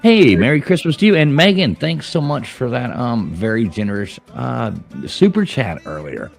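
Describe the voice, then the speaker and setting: A man's voice: mid-range voice, sing-song, normal speed, vocal fry, soft background music. A man hosting a casual livestream chat from a pub, chatty and rambling, laughing often. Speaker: livestream host. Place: Irish pub.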